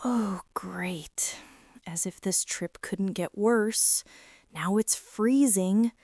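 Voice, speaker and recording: A woman's voice: medium pitch, very slow, dark tone, no echo. A young woman playing a prickly, defensive teenage school bully in an intimate girlfriend ASMR roleplay, sulky and sarcastic, close to the mic. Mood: grumbling. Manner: sulky, sarcastic, huffy, with sighs and whispers.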